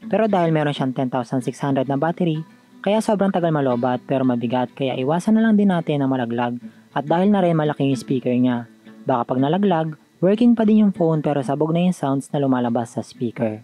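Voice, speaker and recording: A man's voice: fairly high pitch, lively intonation, brisk, warm tone, soft background music. A man with a Filipino accent giving a conversational, scripted voice-over as a tech review vlogger.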